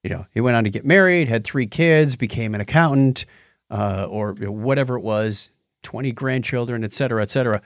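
Low-voiced man in casual podcast chat. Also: brisk, vocal fry, dry recording, studio quality. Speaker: podcast co-host. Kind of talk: casual chat reviewing TV shows.

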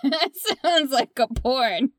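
Female co-host, slightly high voice, teasing and chatty, close dry podcast sound. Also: slow, no echo. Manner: playful, teasing banter. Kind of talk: casual chatty podcast conversation.

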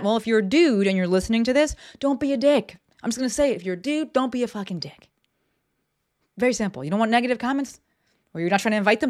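A woman talks into a desk microphone for her own podcast. She is blunt and unfiltered, swearing freely. Her delivery is loose and conversational, full of "like" and "right?". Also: mid-range voice. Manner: blunt and profane, lots of fillers. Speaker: podcast host. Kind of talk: casual solo podcast talk.

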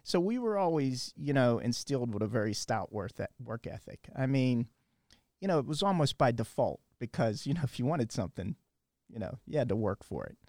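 A man's voice: mid-range voice, sing-song, brisk, a little mellow, no room sound, studio quality. Rambling older man from Pennsylvania. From western Pennsylvania. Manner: rambling, many fillers and restarts. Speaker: podcast guest. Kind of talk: casual storytelling.

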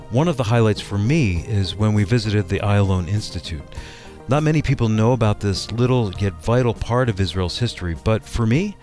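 A man's voice: on the low side, darkish tone. A man announcing a radio program in a scripted introduction. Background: music.

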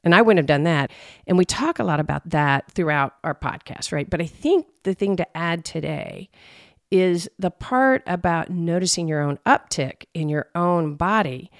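A woman co-hosting a podcast, with an intense, emphatic voice that rises when she gets animated. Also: lowish voice, normal speed, no echo, studio quality. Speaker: podcast co-host. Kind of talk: conversational podcast discussion.

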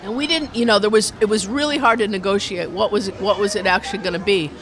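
A community radio organizer being interviewed in a casual way, explaining things in an animated, rambling manner. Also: medium pitch, quick pace, a faint crowd. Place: inside a brewery restaurant.

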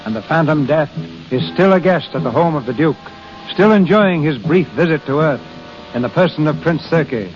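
An actor as a solemn, dramatic storyteller, narrating a 1930s radio play. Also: male voice, on the high side, lively intonation, moderate pace, lightly acted. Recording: muffled 1930s radio with music behind.

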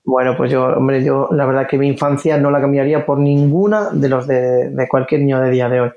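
A man's voice: mid-range voice, fairly even intonation, brisk, dark tone, dull audio. Earnest middle-aged man, hesitant speech. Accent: mixed Spanish, faded Galician. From Galicia, Spain. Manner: hesitant, repeats words, earnest. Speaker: interview guest, former football player. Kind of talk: casual conversational interview.

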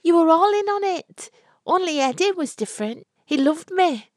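A woman voicing a furious, bitter young bride with shrill, emotional outbursts in a story reading. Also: animated, normal speed, darkish tone, no echo, studio quality. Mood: bitter anger.

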